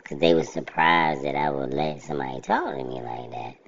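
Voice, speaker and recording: A middle-aged man, talking casually about his own life in a level, monotone, plainspoken way. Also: very deep voice, creaky. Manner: unhurried, reflective, plainspoken. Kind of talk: casual personal monologue. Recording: slightly dull lo-fi recording.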